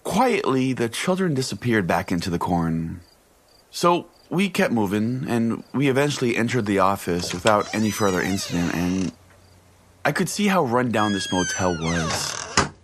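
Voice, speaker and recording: A man's voice: lowish voice, very animated, normal speed, a little hiss or hum. A man hosting a podcast, telling a scripted comic story in a mock-solemn, profane way. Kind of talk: comic first-person scripted narration.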